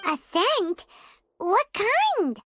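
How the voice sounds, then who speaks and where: A woman voicing a small, childlike, chirpy and curious fairy companion in a video game, with a squeaky, exclamatory delivery. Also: sing-song, extremely slow, fairly bright tone, faint music behind, exaggerated voice. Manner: exclamatory, refers to self in third person.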